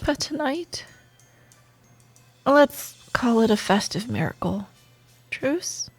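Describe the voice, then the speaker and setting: Woman acting a slow-paced, high-voiced sardonic office rival. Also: husky, no echo, soft background music, full character voice. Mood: grudging, playful banter. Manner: teasing, expressive, with sighs and dramatic pauses. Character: sardonic, bantering female office rival, secretly admiring and sentimental. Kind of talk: scripted romantic audio roleplay, ASMR.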